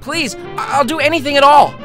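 A man's voice: extremely high pitch, fairly quick, sharp tone, soft background music, voice pushed up. A man voicing a heartbroken young American student in an audio story, whiny, sarcastic and melodramatic. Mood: panicked, pleading.

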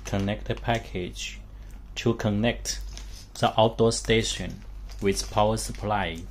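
A man giving a hands-on instructional demonstration as a product demonstrator, speaking haltingly in short phrases in a small room. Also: lowish voice. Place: small indoor room with a work table. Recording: steady background room noise.

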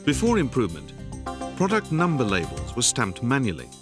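A man giving scripted, measured narration for a factory training film, recorded as a studio voice-over. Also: medium pitch, wildly swooping pitch. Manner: measured, explanatory. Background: background music.

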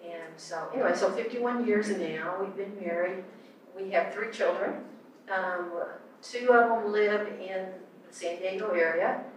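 A woman's voice: medium pitch, unhurried, slight background noise. An older woman telling about her marriage on a church panel, rambling and correcting herself as she talks into a handheld mic. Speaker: pastor's wife. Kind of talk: sharing her story on a panel. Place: church stage, large room. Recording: echoey hall, heard from far off.

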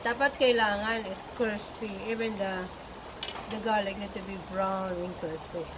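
A woman from the Philippines with a Filipino accent hosts a home cooking video in her back garden. She chats loosely and mixes English with Tagalog. The camera mic picks her up from a few feet away, with outdoor noise clearly heard behind her. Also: medium pitch, fairly even intonation, moderate pace. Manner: chatty, switches between English and Tagalog. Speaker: cooking vlog host. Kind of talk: casual cooking demo.

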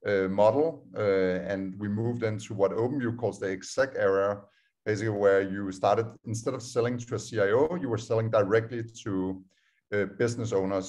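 Man, Danish accent, somewhat low voice, video call audio, casual presenter, frequent fillers. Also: a little mellow. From Denmark. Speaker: startup co-founder giving a talk. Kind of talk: online presentation over screen share.

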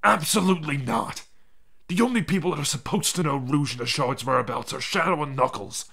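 A man's voice: a little high, a little fast, gravelly, bright, forceful tone, full character voice. A man voicing an irritable, guarded young chameleon ninja detective in a fan audio drama, stern and clipped.

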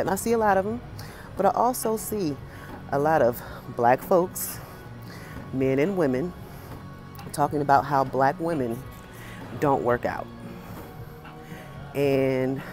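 An American woman in her forties talking casually and emphatically to camera as a fitness vlogger, pausing between phrases. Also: sits low, darkish tone. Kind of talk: casual opinionated talk to camera. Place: indoor gym with high ceiling.

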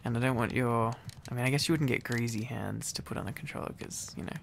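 A man's voice: mid-range voice, fast talker, vocal fry, fairly bright tone, slight background noise. A man playing a needy, silly, affectionate boyfriend in an intimate ASMR roleplay, rambling and teasing playfully.

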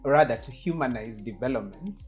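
A talk show host greeting a guest in a studio lounge, effusive and formal, often repeating words while searching for them. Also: female voice, extremely low pitch, lively intonation, fairly quick, darkish tone, soft background music. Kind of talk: warm formal interview introduction. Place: studio lounge set, indoors.

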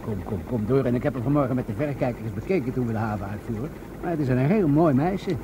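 An actor playing a jovial, chatty older police gentleman in a Dutch radio drama. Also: medium pitch, expressive, fast talker, highs cut off, lightly acted. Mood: relaxed, curious. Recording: clear boat and water noise behind.

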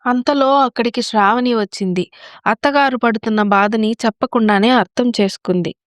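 A woman's voice: a little high, moderate pace, no room sound. A woman narrator telling a scripted moral story.

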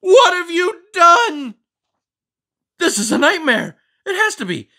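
Man putting on a frantic high-pitched fussy frog. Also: big pitch swings, slow pace, pushed and bright, full character voice. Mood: horrified. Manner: exasperated, melodramatic exclamations. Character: fussy, neat-freak male frog, excitable and melodramatic. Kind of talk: animated storybook read-aloud.

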